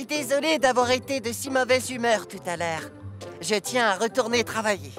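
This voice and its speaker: A man's voice: very high voice, normal speed. A man playing a vain, sulky steam locomotive in a French cartoon dub, grumbling and whining indignantly. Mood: apologetic. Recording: music behind the voice.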